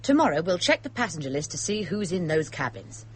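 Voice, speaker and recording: An actress playing an impulsive, confident young woman amateur sleuth in a radio drama, brisk and emphatic. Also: fairly low pitch, very animated, slightly rough. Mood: breezy, confident.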